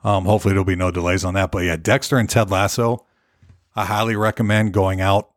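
A hoarse man hosting his own podcast, chatting loosely and rambling from topic to topic. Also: low-pitched, steady tone, fast, no room sound, studio quality. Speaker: podcast host. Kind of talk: casual solo podcast rambling. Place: Nashville.

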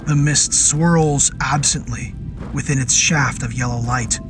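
A man narrating a scripted horror story in a deliberate, literary storytelling manner. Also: mid-range voice, fairly even intonation, over music. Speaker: narrator and performer.

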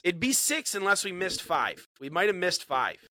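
Man, congested, upbeat podcast host, slightly high voice, bright tone. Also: big pitch swings, normal speed, no room sound. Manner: upbeat, chatty, repeats words as he talks. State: congested from a cold. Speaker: podcast co-host, bait shop owner. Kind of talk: casual podcast chat. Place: small studio in a bait shop.

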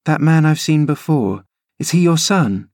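An eager, headstrong teenage girl, high and bright, as a man reading a storybook puts her on. She sounds impulsive and lively. The sound is dry and close. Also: a little slow, no room sound. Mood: indignant.

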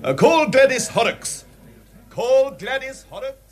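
An actor playing a fussy, official coroner in a radio drama courtroom, with a formal, clipped English accent. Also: male voice, very high-pitched, very animated, unhurried, heavily acted. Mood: brisk, formal.

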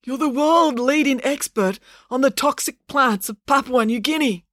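An injured old woman professor in a children's audiobook, acted out by a woman narrator. She labours for breath and pushes her words out urgently, higher than a plain reading voice. The sound is dry and close. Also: normal speed, fairly bright tone, dry recording. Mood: impatient, weak.

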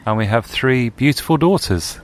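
A man in his late forties with a southern English accent, a journalist guest telling anecdotes in a relaxed radio interview and laughing through his stories. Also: medium pitch, normal speed. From England. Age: late 40s.

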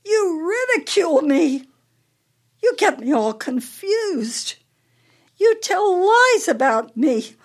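An actress in a radio play performing a confused, volatile older wife with failing memory. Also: female voice, extremely high pitch, very animated, slow pace, full character voice. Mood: angry accusing. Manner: agitated, swinging between accusation and childlike politeness.

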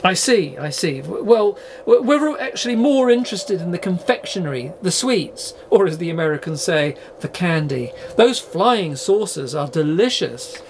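A man's voice: high-pitched, lively intonation, moderate pace, hardly any pauses, a little hiss or hum. A man playing an earnest, polite university podcast host in a scripted audio drama. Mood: probing.

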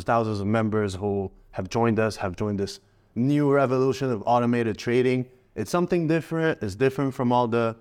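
A man in his thirties from Morocco, a guest trader answering in a relaxed, rambling way. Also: mid-range voice, clean tone. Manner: relaxed, rambling, frequent fillers and restarts. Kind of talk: casual interview answers.

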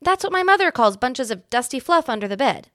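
A woman's voice: high-pitched, very animated, a little fast, a bit bright, no room sound, studio quality, voice pushed up. A woman voicing a friendly but cautious and slightly bossy young boy in a storybook reading. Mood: matter-of-fact.

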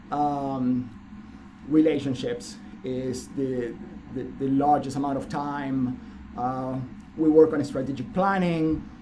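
Middle-aged man, dean on a podcast, wry and reflective, audible background noise. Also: a little high. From Argentina. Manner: dry self-mocking humor, thoughtful with repeated restarts. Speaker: business school dean. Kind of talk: casual interview answers. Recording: noisy room recording.

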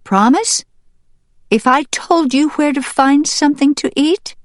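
A woman's voice: high-pitched, unhurried, dry recording, studio quality, voice pushed up. A woman voicing a weary old mother hen in an audiobook, firm and emphatic, fiercely protective and resolute. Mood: bargaining. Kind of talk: audiobook character voice.

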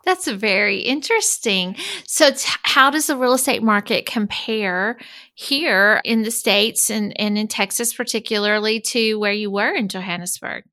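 A woman's voice: mid-range voice, normal speed, pushed and bright, dry recording, clean studio sound. Enthusiastic woman podcast host. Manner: enthusiastic, upbeat. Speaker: podcast host and executive coach. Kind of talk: podcast interview.

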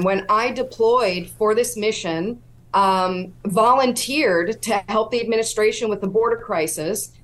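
A middle-aged woman speaking as a podcast guest, with a voice of middling pitch for a woman. She recounts her experience earnestly, step by step. She sounds steady and engaged in the conversation. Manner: earnest, storytelling. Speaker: whistleblower guest. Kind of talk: interview.

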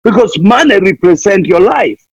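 A man's voice: high voice, expressive, moderate pace, breathy edge, no room sound. A man from Kenya, preaching fervently as a missionary guest, pleading again and again and playing on words. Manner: impassioned, repeats pleas, wordplay. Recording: dull lo-fi dry sound.

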